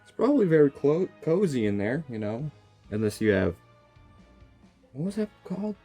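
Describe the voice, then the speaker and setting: Reaction streamer: man, casual and slangy, dark relaxed tone with big pitch swings. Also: normal speed, faint music behind. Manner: joking, exclaiming, slangy. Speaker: gaming streamer. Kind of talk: casual game reaction commentary.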